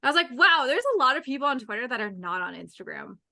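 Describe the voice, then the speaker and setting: A young woman hosts a podcast with a bright, lively edge to her fairly high voice. She chats casually and upbeat, often breaking into laughter. Her talk is loose and friendly. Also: fast, creaky. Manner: chatty, upbeat, laughs easily. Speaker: podcast host. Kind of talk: casual chat.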